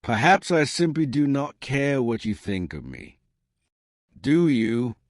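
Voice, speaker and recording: A man voicing a quiet, candid young gentlewoman in an audiobook, dry and composed. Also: mid-range voice, sing-song, takes it slowly, no echo, pitched above the performer's own voice. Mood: dry challenge. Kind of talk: audiobook character dialogue.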